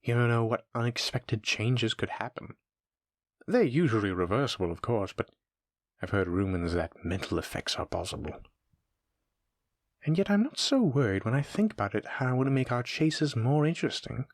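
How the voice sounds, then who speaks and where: A man playing a sadistic, playful shape-shifting monster who muses and taunts with unhurried menace in a horror audio roleplay. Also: mid-range voice, sing-song, dry recording, studio quality. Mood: curious, pleased.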